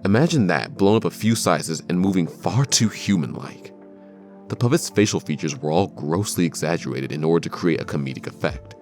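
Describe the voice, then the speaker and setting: Very deep-voiced male narrator with lively intonation. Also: fairly quick, soft background music. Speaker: narrator of a countdown video. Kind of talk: scripted narration.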